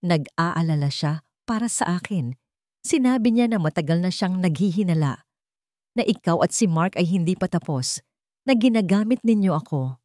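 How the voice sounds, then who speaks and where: A woman's voice: on the low side, normal speed, no room sound, clean studio sound, natural voice. Woman voicing a cold, commanding wealthy man. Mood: icy accusation. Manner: dangerously calm, icy, clipped.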